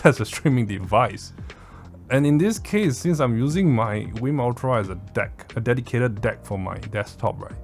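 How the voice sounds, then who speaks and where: A man giving a casual product review in a blunt, opinionated, casually sweary manner. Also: mid-range voice. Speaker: tech reviewer.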